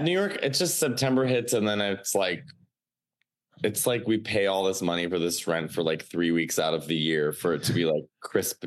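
A man in his late thirties speaks with a Chicago accent, a bit low in pitch. He rambles in easy podcast chat and leans on "like" as he goes. He talks as a working stand-up comic. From Chicago area. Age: 30s. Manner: loose, chatty, full of "like" fillers. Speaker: stand-up comedian, podcast guest.

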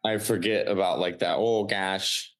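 A man in his late thirties speaks with a Chicago accent, a bit low in pitch. He rambles in easy podcast chat and leans on "like" as he goes. He talks as a working stand-up comic. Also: steady tone, a little slow. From Chicago area. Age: 30s. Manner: loose, chatty, full of "like" fillers. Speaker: stand-up comedian, podcast guest.

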